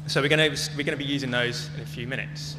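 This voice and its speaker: A man giving a prepared talk as a conference speaker, phrasing it in short, measured, emphatic chunks. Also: medium pitch, steady tone. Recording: long echoey reverb, clearly noisy with hum.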